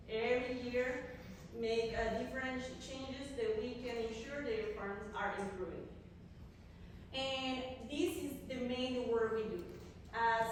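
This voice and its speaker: A young Costa Rican woman speaks English with a Spanish accent, explaining earnestly and patiently, often pausing on fillers and restarting a phrase. Her voice sits a little high and comes from some way off the mic. It rings through a big echoey hall over steady background noise. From Costa Rica. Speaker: coffee agronomist. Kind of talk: presentation to a visiting group. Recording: echoey hall from far off, noisy.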